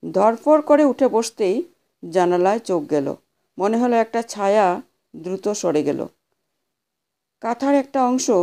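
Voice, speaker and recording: A woman narrating a scripted horror story as an audio storyteller, with a measured, dramatic delivery. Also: mid-range voice, warm tone.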